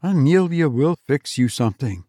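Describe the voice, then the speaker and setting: A man's voice: fairly high pitch, animated, moderate pace, warm tone, no echo, clean studio sound. A man doing a curt, irritated mother. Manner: curt, dismissive. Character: irritated sleepy mother, dismissive.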